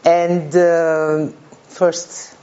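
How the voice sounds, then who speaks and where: A middle-aged woman from Brazil with a Brazilian Portuguese accent, a native Portuguese speaker giving an informal academic talk in a halting way with many fillers and restarts. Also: lowish voice, flat delivery, warm tone, lo-fi sound. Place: conference room.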